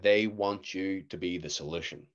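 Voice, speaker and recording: A young man with a Northern Irish accent, a guest actor telling stories in a casual podcast chat, animated and laughing often. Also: low voice, normal speed, lo-fi sound. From Northern Ireland.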